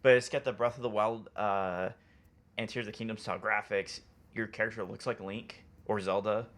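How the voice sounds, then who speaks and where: Low-voiced man in casual gaming chat. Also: steady tone, moderate pace, fairly bright tone. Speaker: podcast co-host. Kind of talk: casual chat about video games.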